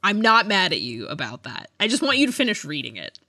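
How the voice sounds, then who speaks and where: A woman chats casually as a podcast co-host, in a bright, slightly low voice with very lively intonation. She is giggly and often interrupts herself. The sound is close and dry. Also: brisk, dry recording. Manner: animated, giggly, self-interrupting. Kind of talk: casual book discussion chat.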